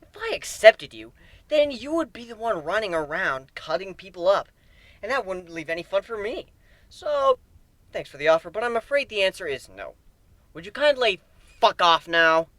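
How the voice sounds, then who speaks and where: Man playing a high-strung, high-pitched griffin. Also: normal speed, no echo. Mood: sardonic. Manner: excitable, ranting, dramatic. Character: frazzled, sarcastic male griffin, meticulous schemer in meltdown.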